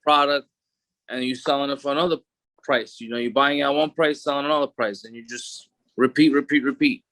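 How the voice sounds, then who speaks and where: A man's voice: mid-range voice, brisk, no echo. New York man in his thirties. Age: 30s. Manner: relaxed street talk with many fillers and restarts. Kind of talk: casual interview.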